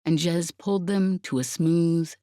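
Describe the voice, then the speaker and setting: A woman's voice: lowish voice, a little slow, dark tone, no room sound, studio quality. A woman reading an audiobook, narrating fiction in a dramatic scripted style.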